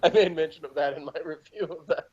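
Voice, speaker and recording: A man's voice: on the high side, fast, a crowd in the background. A man hosting a casual episode review. He is sarcastic, chuckles often and reads from his notes. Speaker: podcast host reviewing an episode. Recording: dull lo-fi audio.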